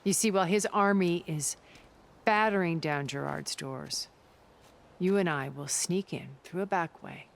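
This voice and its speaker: Video game schemer: middle-aged, deep gravelly register, formal, persuasive, coolly wry, slight background noise. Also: female voice, unhurried. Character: cool, calculating woman schemer, haughty and persuasive. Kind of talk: dramatic video game cutscene acting.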